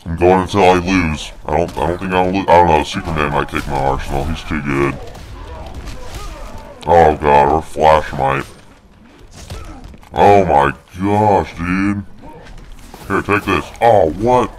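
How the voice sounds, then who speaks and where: A man's voice: low-pitched, steady tone, measured pace, long pauses. A man voicing a snarky, crude big monster brute while doing casual, jokey video game commentary. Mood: snarky, boastful, then frustrated.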